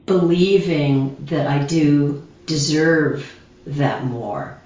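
A woman guest speaker explaining meditations calmly, in a slow, gentle, reflective way. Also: low voice. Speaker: guest speaker, shamanism teacher. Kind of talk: calm guided explanation. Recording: distant echoey dull video call audio.

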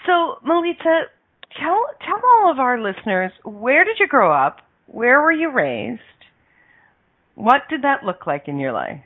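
A woman's voice: high voice, sing-song, unhurried. An effusive woman host gushes and laughs as she interviews her guest. Her voice comes over a tinny, phone-like line. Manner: effusive, gushing, laughs often. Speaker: podcast host. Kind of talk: casual interview chat.

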